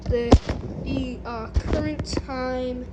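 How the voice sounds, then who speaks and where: A woman casually narrating an unboxing and setup in a halting way, muttering short phrases to herself. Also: high-pitched, fairly even intonation, dull audio. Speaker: unboxing video host. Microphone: handheld camera or phone, no tripod. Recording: noisy handheld home video recording.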